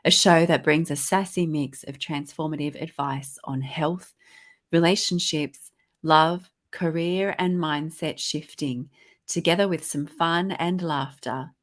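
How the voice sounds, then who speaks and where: Upbeat low-voiced woman host. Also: steady tone, unhurried. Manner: upbeat, effusive. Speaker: podcast host. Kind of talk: host interview, scripted intro.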